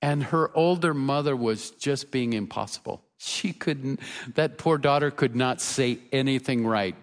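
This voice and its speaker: Older pastor preaching in an echoing hall. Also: male voice, mid-range voice. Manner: earnest preaching, rising emphasis, with soft storytelling asides. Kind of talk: sermon. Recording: reverberant church hall.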